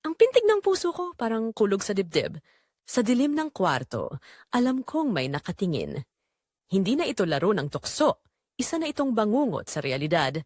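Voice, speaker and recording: A woman's voice: mid-range voice, huge pitch swings, normal speed, darkish tone, no echo, clean studio sound. A woman narrating a scripted audio drama in the first person, with a suspenseful, emotive delivery.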